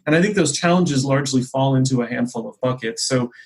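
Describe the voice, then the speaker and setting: A man speaking as an executive guest on a podcast, explaining things in a conversational, discursive and measured way. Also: mid-range voice, a little mellow, husky, low-quality recording.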